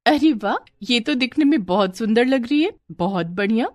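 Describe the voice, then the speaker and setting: A woman's voice: fairly high pitch, expressive, moderate pace, a little mellow, no echo, voice pushed up, close to an everyday voice. Woman playing a meek, poor young storybook woman. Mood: delighted. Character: poor, humble young orphan girl, wistful and sweet.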